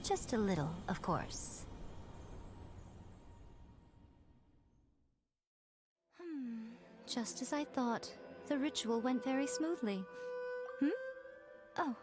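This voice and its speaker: Coy fox-spirit lady for anime game: higher register, elegant, animated, unhurried teasing. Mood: wistful, coy. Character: Yae Miko, sly teasing elegant fox-spirit woman. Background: music.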